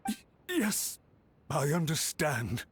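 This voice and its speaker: Anxious, higher-voiced grovelling official. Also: male voice, huge pitch swings, slow pace, husky, full character voice. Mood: cowed. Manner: deferential, pleading. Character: anxious, grovelling male official pleading to his superior. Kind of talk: video game voice acting. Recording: music under the voice.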